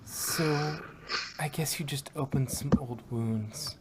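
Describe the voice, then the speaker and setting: A woman voicing a timid, gentle, anxious female pony with a soft, quiet voice in a fan story reading. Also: a bass voice, slow. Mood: hesitant, sad. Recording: noisy home microphone with clicks.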